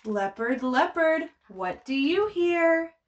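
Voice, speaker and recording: A woman with a high voice leads a storytime for babies. She takes her time, shaping phrases in a sing-song, child-directed way. Her manner is encouraging and full of simple exclamations. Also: expressive, takes it slowly, lo-fi sound, slight background noise. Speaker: children's librarian leading a baby storytime. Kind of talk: sing-song picture book read-aloud and coaching for babies and parents.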